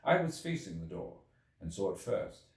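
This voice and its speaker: A man narrates a classic story in a fairly low voice with wide swings of intonation. His phrasing is measured and theatrical, and the sound is roomy and set back from the mic. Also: big pitch swings. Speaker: audiobook reader. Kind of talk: expressive scripted literary narration. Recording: echoey room, distant from the mic.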